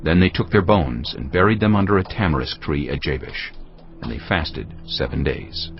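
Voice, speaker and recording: Deep male narrator, solemn scripted delivery, expressive intonation, faint music behind. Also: moderate pace. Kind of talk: scripted dramatized Bible narration. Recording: dull audio with music behind.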